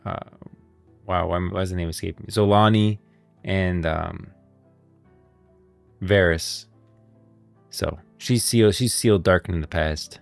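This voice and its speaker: A man's voice: deep voice, creaky, dry recording, faint music behind. A man doing casual live-stream commentary on game lore, rambling and thinking aloud as he goes. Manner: rambling, thinking aloud, pauses to read out lines.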